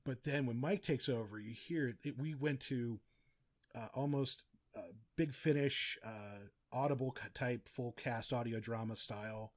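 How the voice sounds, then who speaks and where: A man's voice: medium pitch, moderate pace. Male podcast guest, middle register, conversational and chatty, offers practical advice in a loose, meandering way. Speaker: podcast guest, audio drama writer and producer. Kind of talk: casual interview chat, giving practical advice.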